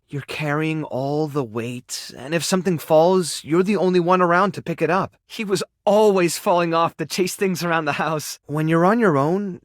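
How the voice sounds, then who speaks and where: An actor playing an earnest, caring male animal rescuer in a video game, gentle, hesitant and easily flustered. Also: a little high, no echo, clean studio sound, close to an everyday voice. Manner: hesitant, soft-hearted, coaxing. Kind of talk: expressive dramatic game voice acting.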